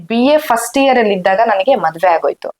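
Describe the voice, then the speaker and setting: A woman's voice: medium pitch, lively intonation, a little fast, a little mellow. A woman, chatting casually and colloquially as an interview guest. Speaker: interview guest, social media creator.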